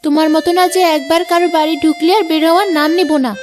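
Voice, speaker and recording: A woman's voice: sits high, steady tone, very fast, close to an everyday voice. A woman voicing a blunt, gluttonous, demanding grown daughter in a cartoon. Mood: indignant. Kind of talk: Bengali cartoon dub. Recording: music behind the voice.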